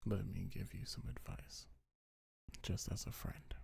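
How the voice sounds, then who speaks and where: Male ASMR voice for comforting affirmations: low, gentle and unhurried, breathy half-whisper with heavy gravelly creak, close-miked. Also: little pitch movement. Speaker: ASMR creator. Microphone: close microphone, dry.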